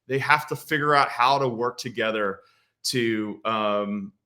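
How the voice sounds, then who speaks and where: A man's voice: medium pitch, a little slow, pushed and bright. A man from Australia, a film director, giving earnest, reflective interview answers with frequent restarts.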